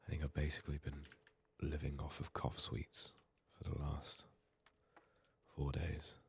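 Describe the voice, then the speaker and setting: Male ASMR game streamer, ill and hoarse: rock-bottom pitch, flat delivery, subdued breathy murmur with whispering and long pauses. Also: slight background noise. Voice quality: husky, raspy, breathy. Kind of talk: soft-spoken whispered ASMR game playthrough.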